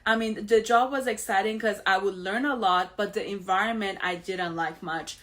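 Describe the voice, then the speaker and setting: A woman's voice: mid-range voice, moderate pace, fairly bright tone. Woman, Iranian accent, show host, candid, filler words, restarts. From Iran. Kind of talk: personal story monologue.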